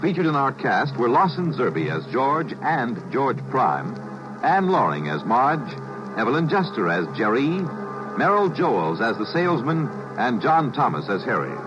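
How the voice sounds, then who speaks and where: A man giving the formal closing credits of a 1950s radio drama in a crisp, measured announcer delivery. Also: mid-range voice, sing-song. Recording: dull vintage 1950s radio broadcast with music.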